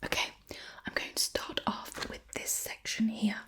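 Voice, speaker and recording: A woman performs an ASMR roleplay in a breathy whisper. She takes her time over each phrase, sounding fussy and playfully disapproving. Her delivery stays hushed and deliberate. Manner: mock-scolding, fussy, deliberate. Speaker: ASMR creator playing a screen cleaner.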